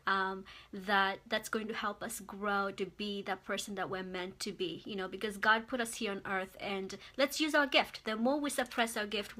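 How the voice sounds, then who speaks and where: A woman's voice: medium pitch, pushed and bright. A woman from Mozambique giving a heartfelt motivational talk as a guest speaker on a livestream, earnest and repeating phrases for emphasis. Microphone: phone or webcam livestream.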